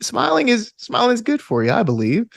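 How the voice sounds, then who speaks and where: A man's voice: very high-pitched, wildly swooping pitch, fairly quick, gravelly, dry recording. A man talking casually as an interview guest, with an enthusiastic, geeky manner and a habit of rambling off on tangents. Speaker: podcast guest, actor and tabletop gamer.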